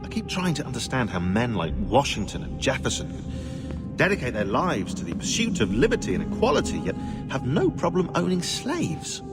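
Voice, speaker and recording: A cynical British historian from a video game. His delivery is articulate and bitingly dry, with a highly animated pitch that rises and falls as he argues. Music plays clearly behind him. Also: moderate pace. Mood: indignant. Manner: sardonic, articulate, indignant. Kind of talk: acted video game dialogue.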